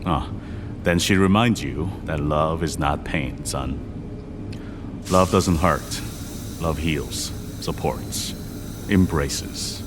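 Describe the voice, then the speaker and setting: A gruff, wise widowed father. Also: a bass voice, slow, pauses often, background noise. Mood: advising. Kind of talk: audio drama acting.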